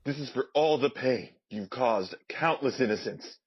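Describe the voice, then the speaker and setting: A man's voice: mid-range voice, animated, normal speed, slightly rough, lo-fi sound. A man playing a righteous, vengeful fighter, declaiming solemnly in an audio drama. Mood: righteous anger. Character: righteous, vengeful male fighter delivering justice. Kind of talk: fan audio drama acting.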